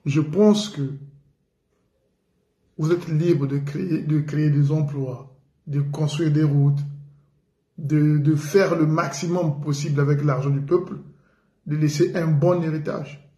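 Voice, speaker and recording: A man from the Congo delivers an emphatic, indignant radio commentary. His voice is warm and dark and sits a little higher than most men's. The room around him rings with echo. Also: fairly even intonation, a little slow, pauses often. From Republic of the Congo. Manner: indignant, emphatic, repeats for stress. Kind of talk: political commentary monologue. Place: living in France.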